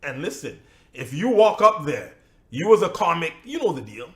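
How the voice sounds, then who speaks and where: A comedian co-hosting a casual podcast chat, talking emphatically and acting out little asides. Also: male voice, on the high side, very animated, moderate pace. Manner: emphatic, rants with acted-out asides.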